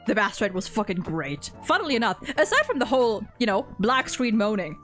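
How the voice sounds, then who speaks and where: A woman's voice: medium pitch, big pitch swings, fairly quick, a bit bright. A woman chatting casually as host, giving opinionated fan commentary in a rambling, gushing, sweary way. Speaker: host of a game story ranking discussion. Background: background music.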